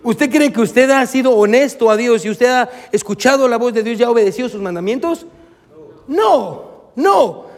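A man's voice: extremely high pitch, a little mellow. A man preaching an impassioned sermon as a pastor, speaking emphatically, repeating key phrases and addressing his listeners directly. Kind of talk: impassioned sermon with scripture readings. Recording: long reverberation of a hall.

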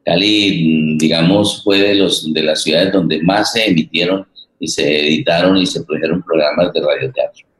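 Male Colombian forum host, low register, halting conversational delivery, noticeably echoey sound. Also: steady tone, a bit bright. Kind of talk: conversational interviewing. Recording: roomy, echoing sound.

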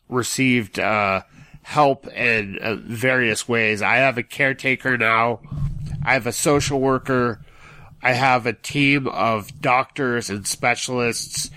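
Slow-spoken man with a bright, forceful voice. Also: medium pitch. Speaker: podcast guest sharing personal experience. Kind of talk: measured personal account.

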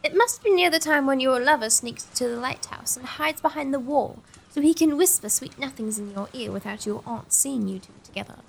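Woman acting a high-voiced, restless young gothic heroine. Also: a little fast, gravelly, few pauses, a little hiss or hum, poor audio. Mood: teasing. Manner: theatrical, sighing, old-fashioned diction. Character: restless, fretful young gothic-novel-loving lady companion. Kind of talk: gothic comedy audio drama acting.

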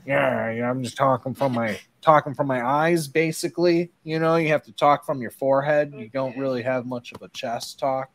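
A man's voice: medium pitch, moderate pace, hardly any pauses, slight background noise. Nasal Midwestern man, congested and rambling. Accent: Midwestern American. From American Midwest. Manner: casual, rambling, swears often, clears throat. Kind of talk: casual chat.

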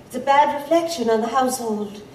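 A woman playing an ancient, youthful-looking vampire wise woman in an audio drama, playfully sly, with formal, teasing, measured speech. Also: high-pitched, a little mellow, natural voice. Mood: amused. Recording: roomy, distant, faint background noise.

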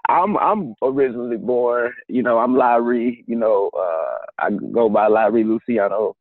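Husky laid-back man on a phone line. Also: mid-range voice, moderate pace. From Dallas, Texas. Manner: laid-back, easygoing, lots of "you know". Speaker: musician guest. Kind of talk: casual interview talk.

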